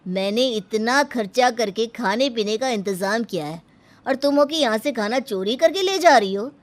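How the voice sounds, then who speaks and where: A woman's voice: on the high side, expressive, moderate pace, lightly acted. A woman voicing an indignant bride's brother who scolds a guest in a cartoon story.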